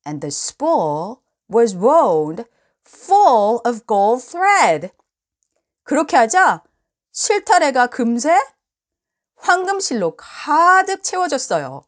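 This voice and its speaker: A woman reads a children's tale aloud. Her delivery is expressive and very unhurried, and her pitch moves up and down freely. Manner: expressive, deliberate storytelling. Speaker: storybook reader. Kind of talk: bilingual children's story read-aloud.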